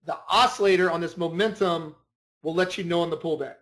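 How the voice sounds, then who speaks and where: A man's voice: fairly high pitch, steady tone, brisk, a bit bright. A man leading an informal screen-share tutorial as a trading instructor, talking emphatically, repeating himself and checking that listeners follow.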